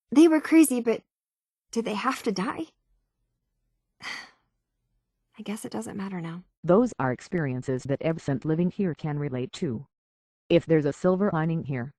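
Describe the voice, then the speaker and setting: A woman's voice: sits low, a little mellow, no echo, clean studio sound. An actress playing an earnest, conflicted young woman in a video game, hesitant, sincere and emotionally vulnerable. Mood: dismayed, sad, then somber, regretful.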